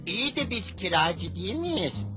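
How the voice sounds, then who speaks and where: A woman voicing a young, level-headed boy in a dubbed cartoon, with a husky voice and a dry, cheeky manner. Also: medium pitch, very animated, moderate pace, music in the background, lightly acted.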